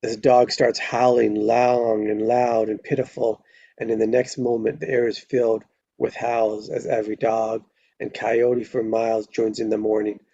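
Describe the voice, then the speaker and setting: A man's voice: lowish voice, flat delivery, moderate pace, vocal fry, dark tone, slightly rough, dry recording, muffled recording. A man narrating a screenplay at a live table read, stumbling over words now and then and correcting himself.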